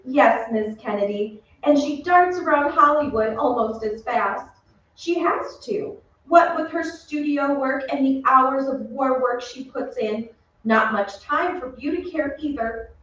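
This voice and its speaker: An actress playing a chatty, gushing Hollywood beauty reporter in a 1940s-style radio commercial on stage. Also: female voice, high-pitched, a little slow. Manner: bright-worded, gossipy, scripted banter. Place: theatre stage, away from the mic. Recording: very echoey stage, distant, dull, faint noise.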